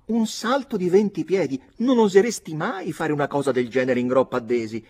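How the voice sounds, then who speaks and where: A man voicing a teasing, haughty young girl in a storybook reading, with a husky voice and a mocking, coquettish manner. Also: on the high side, brisk, darkish tone, pitched above the performer's own voice, lightly acted.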